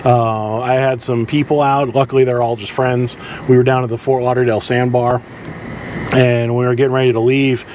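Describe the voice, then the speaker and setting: A man talks through practical tips in a casual vlog style. His mid-range voice moves in a halting, conversational way. A low ambient drone sits faintly behind the speech. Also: level tone, a little mellow, a little hiss or hum. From southeastern United States. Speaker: charter boat captain presenting tips.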